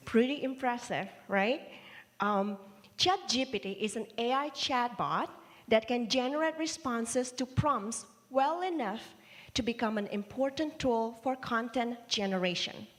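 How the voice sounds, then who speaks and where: A woman who grew up in Indonesia, preaching a sermon with measured, playful storytelling. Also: on the high side. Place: large church hall. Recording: reverberant church hall livestream.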